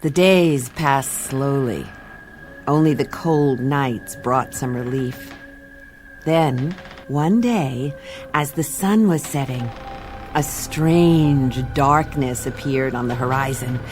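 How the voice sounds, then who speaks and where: A woman playing a wry, deadpan adventurous traveller in a radio play, with unhurried delivery. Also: very deep voice, soft background music. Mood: brooding, unhurried. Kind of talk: radio play narration and dialogue.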